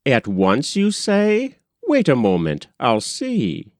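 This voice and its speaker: Casting: polite, businesslike male manager acted by a male reader, measured pace, varied intonation, storybook. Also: medium pitch, huge pitch swings, dry recording, studio quality, full character voice. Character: brisk, courteous male business college manager.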